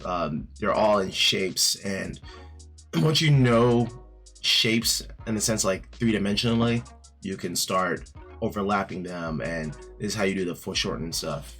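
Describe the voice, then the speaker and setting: A man reviewing an art book in a casual vlog, his voice raspy from just waking up, rambling with many fillers and restarts. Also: fairly low pitch. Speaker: art YouTuber. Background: soft background music.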